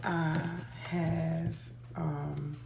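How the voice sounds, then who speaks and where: Female tarot host, hoarse, easygoing and chatty; lowish voice, roomy sound with faint hiss. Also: extremely slow. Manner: relaxed, chatty, with frequent ums. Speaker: tarot reader. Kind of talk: casual tarot reading to viewers.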